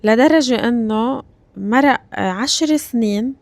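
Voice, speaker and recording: Lebanese woman teaching slowly and clearly. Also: fairly high pitch, no room sound. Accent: Lebanese Arabic. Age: 30s. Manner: slow and deliberate, repeats phrases and checks in with listeners. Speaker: Arabic teacher and podcast host. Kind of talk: slow, clear podcast lesson for learners.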